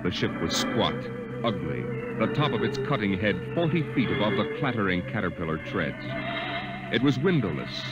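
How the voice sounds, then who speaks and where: A man narrating and announcing a 1950s radio drama in a grave, measured, dramatic style. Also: fairly low pitch, big pitch swings. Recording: dull 1950 radio recording with loud music.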